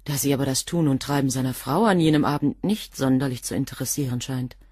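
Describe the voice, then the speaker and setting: Guarded, husky, coolly defiant assistant. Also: female voice, very deep voice, fast talker, darkish tone, no room sound, clean studio sound. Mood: defiant, controlled. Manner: precise, cool, clipped answers. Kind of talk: German crime radio play dialogue.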